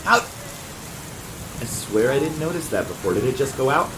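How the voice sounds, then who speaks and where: Behind loud ambient noise, a man acts out a struggling jazz pianist for an audio drama. His pitch swings up and down freely, and he rambles along with a nervous, hesitant, chatty manner. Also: big pitch swings, unhurried. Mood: startled, nervous.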